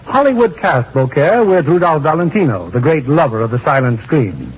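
High-pitched, husky 1940s radio host. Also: male voice, very animated, normal speed. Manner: formal, showmanlike. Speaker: producer and host narrator. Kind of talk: 1940s radio scripted narration. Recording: muffled 1940s radio broadcast with music.

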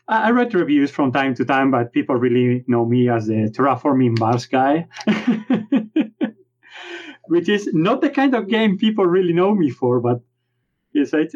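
Man, Spanish accent, casual animated interview talk, muffled lo-fi sound. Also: medium pitch, a little hiss or hum. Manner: animated, self-deprecating, frequent restarts. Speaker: board game writer. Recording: dull lo-fi remote audio.

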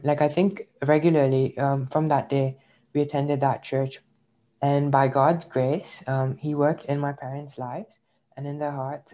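A man's voice: medium pitch, dull audio. A young man from India, raised in the UAE, telling his personal story in a thoughtful, reflective way as an interview guest. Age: young adult. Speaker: interview guest, graduate student. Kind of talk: personal testimony in conversation.

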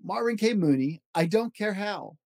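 A man reads a children's storybook aloud in a slightly high, soft-edged mellow voice. His delivery is expressive and rhythmic, leaning playfully on the verse. The recording is close and dry. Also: normal speed, gravelly, no echo. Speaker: podcast host reading children's books.

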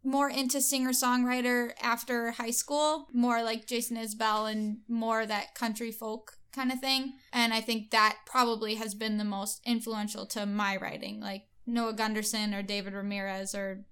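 A woman's voice: on the high side, level tone, moderate pace, hardly any pauses, fairly bright tone, clean tone. A young woman singer-songwriter answering interview questions casually, with hesitant fillers and frequent giggles.

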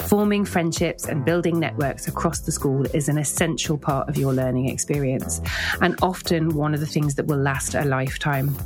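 A woman's voice: low voice, moderate pace, music in the background. A woman hosting a podcast, reading a scripted introduction and then interviewing students in an upbeat, encouraging way. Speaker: podcast host, director of student life.

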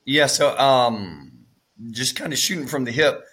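A middle-aged man with a cold-roughened, raspy voice, telling his story as a podcast guest with many fillers and restarts. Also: mid-range voice, animated, a little slow, fairly bright tone. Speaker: real estate investor guest. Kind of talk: casual storytelling interview.

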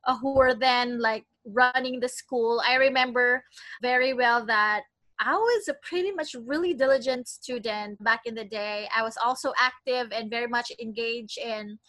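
A young Filipino woman speaks with a Filipino accent in a bright, slightly high voice. She chats cheerfully, with easy laughs. The sound is dry and close. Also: dry recording. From Philippines. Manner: chatty and cheerful, with light laughs. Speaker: interview guest, attorney. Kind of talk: casual interview.

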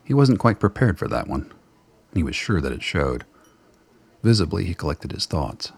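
A man narrates a scripted radio drama in an extremely deep voice. It is gravelly and husky, with measured, dramatic storytelling. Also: sing-song.

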